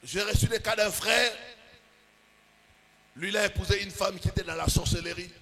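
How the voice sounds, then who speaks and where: A male pastor preaching with a very high, bright voice that turns gravelly at the ends of phrases. He pushes lines out in emphatic bursts and then lets them sink low and slow. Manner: preaching with rising, emphatic bursts and drawn-out pauses. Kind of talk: sermon.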